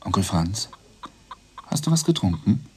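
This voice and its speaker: A man's voice: low voice, big pitch swings, a little slow, noisy background. A male actor playing a young policeman on night duty in a radio play, weary and placating with a trying relative. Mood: concerned. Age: young adult. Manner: placating, exasperated.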